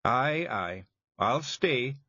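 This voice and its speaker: A man's voice: medium pitch, animated, extremely slow, bright, forceful tone, dry recording, lo-fi sound, voice pushed up, full character voice. A man voicing a proud, brooding tiny clan chief with a blustering manner, in an audiobook. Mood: subdued. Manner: blustering, broad dialect.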